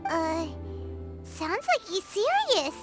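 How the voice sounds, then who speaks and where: A woman voicing a tiny, excitable, childlike companion creature in a game, with a squeaky, jumpy voice. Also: slow, over loud music, exaggerated voice. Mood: uneasy.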